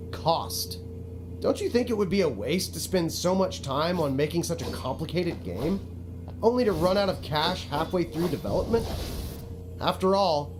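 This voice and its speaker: Actor as a pushy, high-voiced, animated game narrator man. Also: close to an everyday voice. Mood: explanatory, theatrical. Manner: pleading, self-justifying, dramatic. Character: self-pitying, pushy male game developer narrating his own game. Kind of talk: theatrical scripted game narration.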